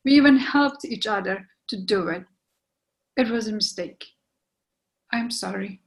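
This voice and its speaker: A woman in a play reading, playing a regretful, resigned lover who is gently breaking things off in a restrained, apologetic way. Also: medium pitch, unhurried, gravelly. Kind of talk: readers theatre play reading.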